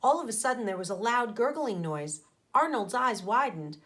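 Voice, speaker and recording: A woman teacher reading a children's story aloud expressively, pausing to explain things to her young listeners. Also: a little high, animated, fairly bright tone. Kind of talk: animated storybook read-aloud with asides to children.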